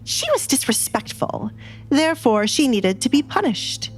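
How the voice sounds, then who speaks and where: Woman voicing a haughty, controlling noble mother, rising pitch. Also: very animated, unhurried, soft background music, full character voice, rough recording. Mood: stern, defensive. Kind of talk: audiobook drama acting.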